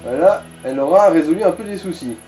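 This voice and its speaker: A man's voice: fairly high pitch, expressive, quick pace, warm tone, soft background music, low-quality recording. A man giving informal, sweary gaming commentary in speech, coaching the player along. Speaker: let's play co-commentator.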